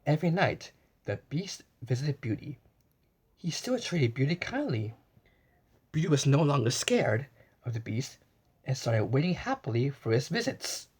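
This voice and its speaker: A man's voice: medium pitch, normal speed, husky. A man reading a storybook aloud as a storyteller, gasping, stumbling over words and adding his own comments along the way. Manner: expressive, gasps and chimes in with his own asides.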